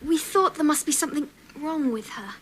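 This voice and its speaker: A woman's voice: high voice, moderate pace, close to an everyday voice, low-quality recording. An actress playing a sensible, anxious English schoolgirl and elder sister in a radio drama. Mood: worried.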